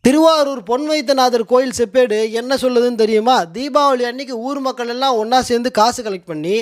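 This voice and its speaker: A man delivers mocking rebuttal commentary in a very high voice. He is colloquial and sarcastic, taunting his opponent as he presents his case. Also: fast talker. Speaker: political commentary video presenter.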